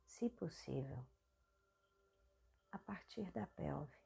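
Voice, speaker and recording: A Brazilian woman hosting a guided meditation, speaking softly and breathily in short, soothing phrases. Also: low-pitched, expressive, very slow, gravelly, breathy edge. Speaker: guided meditation podcast host.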